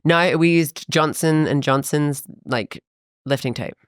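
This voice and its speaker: A woman in her thirties, a fitness coach, chatting in a podcast interview, animated and quick with asides. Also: sits low, dry recording, clean studio sound.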